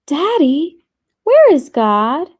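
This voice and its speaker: Storybook child role read by a woman: curious, earnest little girl, hushed and wondering, lifting high on her questions, slow delivery. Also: sing-song, dry recording, heavily acted. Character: curious young girl searching earnestly for God.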